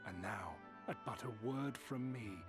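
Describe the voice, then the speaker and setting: Slow, disdainful ancient villain played by a man. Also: on the low side, close to an everyday voice. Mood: scornful. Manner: measured, archaic, formal, disdainful. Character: ancient male Ascian emissary, cold, condescending schemer. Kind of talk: dramatic fantasy video game acting. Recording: music behind the voice.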